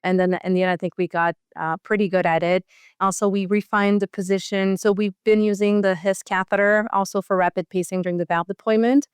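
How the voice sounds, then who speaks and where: A woman's voice: mid-range voice, flat delivery, brisk, smooth, no room sound, studio quality. A woman speaking as a cardiologist in an interview, explaining a procedure in a conversational style.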